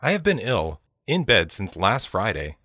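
A man reads scripted English practice phrases in a clearly enunciated, instructional way. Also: on the low side, big pitch swings, a little slow, pushed and bright, no room sound, studio quality. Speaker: language lesson narrator.

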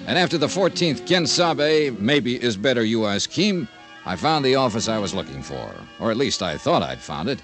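American male actor as a hard-boiled investigator, cool and wry, lively swoops in pitch, old radio sound with music behind. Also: normal speed, lightly acted. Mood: wry, businesslike. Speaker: lead actor and narrator of a radio detective serial. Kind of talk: 1950s American radio detective acting.

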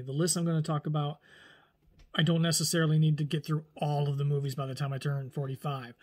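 A man's voice: fairly high pitch, husky. A man in his forties chatting casually to camera at home, rambling with frequent restarts and self-corrections. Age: 40s. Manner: rambling, animated, lots of restarts and hand gestures. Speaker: vlogger. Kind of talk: casual talking-head vlog. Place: small room indoors at home.

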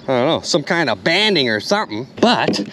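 A young man vlogging outdoors by a river, exclaiming excitedly to his viewers as he goes, recorded on a body-mounted action camera. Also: a little high, brisk, slight background noise. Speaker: magnet fishing vlogger. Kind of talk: casual excited vlog narration.

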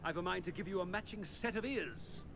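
A man voicing a pompous, flustered male headmaster who blusters and hesitates, clearing his throat. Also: high-pitched, a little fast, noisy background.

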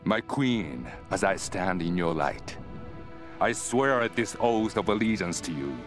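An actor playing a solemn, devoted general in a video game, speaking formally with resolute deliberation. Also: male voice, low-pitched, animated, measured pace. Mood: solemn devotion. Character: solemn, devoted male general of an ancient army. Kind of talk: acted oath and speech. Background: music.

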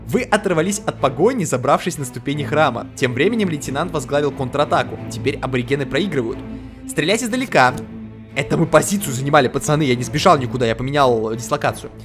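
A man hosting a let's play, reading the game text aloud and reacting in loose slang. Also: on the high side. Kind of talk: casual gameplay commentary. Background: game music.

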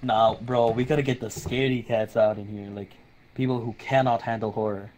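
A man's voice: mid-range voice, steady tone, fairly quick, a little mellow, slight background noise, poor audio. A young man playing along with a friend, teasing and joking in casual chat. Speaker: co-player.

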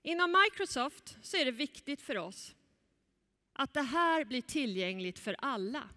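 A woman's voice: high voice, very animated, sharp tone. A young woman moderating a conference keynote on stage, presenting from a script with a headset mic in a large hall. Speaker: event moderator. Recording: echoey hall.

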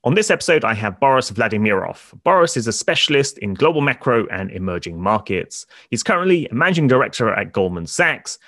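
A man hosts a markets podcast, asking his guest questions at a brisk, lively clip. His voice sits in a middle register. The sound is dry and close, with no room around it. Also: medium pitch, no echo. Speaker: podcast host. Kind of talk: read ad and interview questions.

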